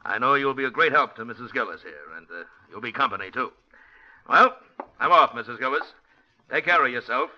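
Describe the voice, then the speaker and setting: An actor playing a worried lodger who talks in a blunt, folksy way, in 1940s radio acting on a lo-fi old broadcast. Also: male voice, medium pitch, expressive, a little fast, close to an everyday voice.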